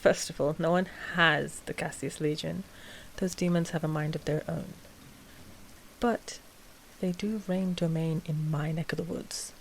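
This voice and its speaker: A woman playing a cautious, principled vampire coven master in a scripted ASMR roleplay, measured and a little exasperated. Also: deep voice, fairly even intonation, creaky, slight background noise, poor audio. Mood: reluctant.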